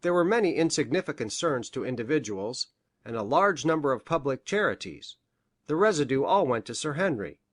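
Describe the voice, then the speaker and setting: Storybook gentleman doctor played by a woman: earnest, formal, precise, lively intonation, dry close sound. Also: extremely low pitch, fairly quick, no echo, voice pushed down, full character voice. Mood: earnest, informative. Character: earnest gentleman doctor, respectable and easily startled.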